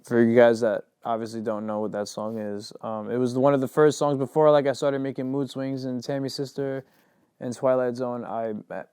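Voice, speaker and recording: A man's voice: mid-range voice, little pitch movement, normal speed, dark tone, clear, resonant tone, no room sound, clean studio sound. A young man, a rapper and singer, talking casually in an interview, rambling and filling his sentences with "like". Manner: loose and rambling, heavy on "like".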